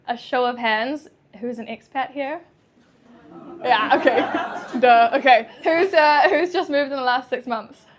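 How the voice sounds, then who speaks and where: A young woman with a New Zealand accent gives a talk to an audience indoors. Her voice sits a little high, and she sounds nervous but chatty. She laughs often and fills her pauses with ums. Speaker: chiropractor giving a talk.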